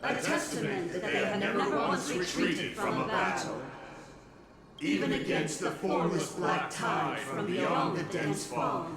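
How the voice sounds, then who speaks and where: An actor playing a solemn, zealous priest in a video game, proclaiming ritual words in a declamatory manner. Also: male voice, high-pitched, lively intonation, measured pace, pauses often, a loud crowd. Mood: solemn, proud. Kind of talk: dramatic video game voice acting. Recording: cavernous echo under loud music. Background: loud game music.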